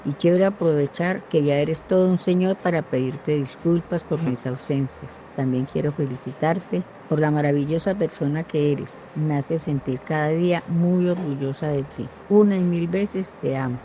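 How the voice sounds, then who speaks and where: A woman's voice: low-pitched, normal speed, hardly any pauses, warm tone, a little hiss or hum, low-quality recording. A woman speaking a tender, heartfelt recorded message to her son. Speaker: mother of the guest.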